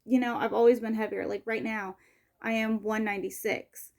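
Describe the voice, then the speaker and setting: A woman's voice: a little high, level tone, normal speed, gravelly, a little mellow. A woman in her twenties talking casually in a personal vlog, rambling with lots of "like" and "um" and correcting herself as she goes. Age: 20s. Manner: rambling, heavy use of "like" and "um", frequent self-corrections. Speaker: vlogger.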